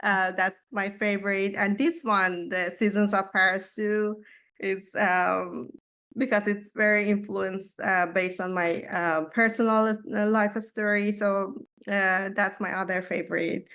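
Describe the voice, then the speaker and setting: A woman from Iran, a children's book illustrator, answering interview questions with many pauses and fillers. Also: medium pitch, steady tone, a bit bright. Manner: hesitant, many ums and uhs. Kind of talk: casual interview.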